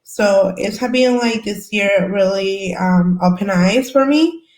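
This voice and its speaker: A woman's voice: mid-range voice, a little mellow. Young woman panelist with a Salvadoran accent, a native Spanish speaker who searches for words as she tells her story; roomy echo. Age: young adult. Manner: halting, searching for words in English. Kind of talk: personal story in a panel discussion.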